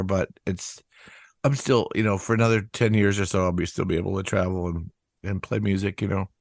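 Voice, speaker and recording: An older man talks in a low voice, rambling through a story with false starts and loose asides. The sound is dry and close, with no room around it. He keeps an easy, conversational flow. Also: no room sound. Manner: rambling, many restarts and asides. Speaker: musician guest. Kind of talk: casual interview chat.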